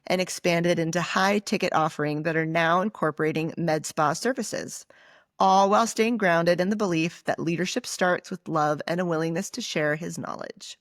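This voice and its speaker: A woman's voice: fairly low pitch, steady tone, normal speed, no room sound, clean studio sound. A woman hosting a podcast interview, upbeat and reading prepared questions. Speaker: podcast host.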